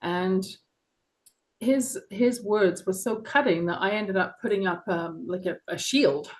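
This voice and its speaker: A woman's voice: mid-range voice, measured pace. A middle-aged woman with a London English accent, an author telling her story earnestly and passionately in an interview. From England. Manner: earnest, passionate, with soft laughs and frequent ums. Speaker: author and guest. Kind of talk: reflective personal interview.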